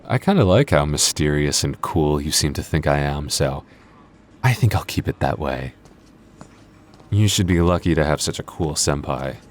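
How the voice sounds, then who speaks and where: A man's voice: very deep voice, animated, normal speed. A man playing a cocky, moody male vampire coworker in an intimate ASMR roleplay, teasing in a dry, flirty way. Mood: smug, teasing. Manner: smug, flirty, dry teasing with sighs and chuckles. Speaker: voice actor in audio roleplay.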